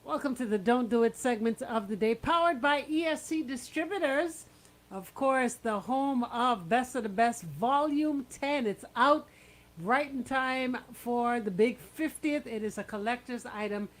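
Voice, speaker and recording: A Bahamian woman with a fairly high voice hosts on radio. She presents in an upbeat, chatty station style, addressing her listeners directly. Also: a little slow. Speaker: radio host. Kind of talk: upbeat radio show presenting with shout-outs.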